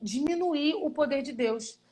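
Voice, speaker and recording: A Brazilian woman with a fairly high voice speaks earnestly about her missionary work. She tells her stories with animation and slips into quoted voices as she goes. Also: unhurried, darkish tone, low-quality recording. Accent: Brazilian Portuguese. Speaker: missionary guest. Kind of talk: casual testimony in a live stream.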